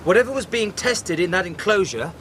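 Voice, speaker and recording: An actor in a radio drama playing a brisk, precise military specialist with an understated, proper manner. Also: male voice, sits high, animated, a bit bright, a little hiss or hum.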